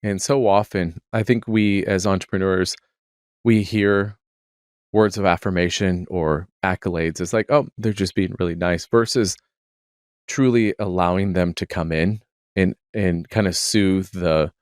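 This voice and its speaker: Deep-voiced male podcast host. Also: fairly even intonation, measured pace, clear, resonant tone, no room sound, clean studio sound. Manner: thoughtful, searching, hesitant phrasing. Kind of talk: conversational interview.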